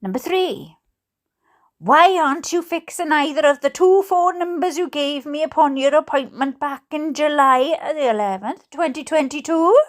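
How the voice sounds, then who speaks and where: A woman's voice: high-pitched, moderate pace. A woman with a Welsh accent reading aloud in a theatrical, playful way with asides of her own. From Wales. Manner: theatrical, expressive, playful delivery. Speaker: host reading letters aloud.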